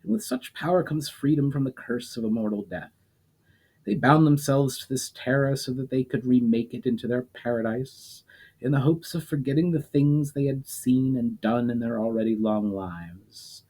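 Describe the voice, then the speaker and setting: A man's voice: medium pitch, normal speed, dark tone, slightly rough, voice pushed up. A man reading a story aloud, voicing an ancient, scornful immortal demon who lectures coldly and derisively. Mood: cold lecturing.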